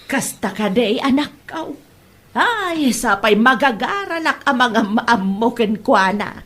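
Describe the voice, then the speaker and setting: A woman playing a boastful, gossiping middle-aged mother in an Ilocano comedy drama, with an Ilocano accent and emphatic, drawn-out bragging. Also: fairly high pitch, lively intonation, fairly bright tone, heavily acted. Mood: fond, eager. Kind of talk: Ilocano comedy radio-style drama acting.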